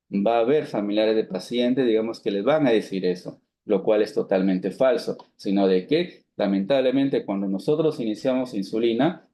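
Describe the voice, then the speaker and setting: A man in his thirties with a low, mellow voice gives a medical lecture to students. He explains step by step, poses rhetorical questions and answers them himself, and pads his sentences with fillers and restarts. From Peru. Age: 30s. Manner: many fillers like digamos and esto, frequent restarts. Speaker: physician lecturer.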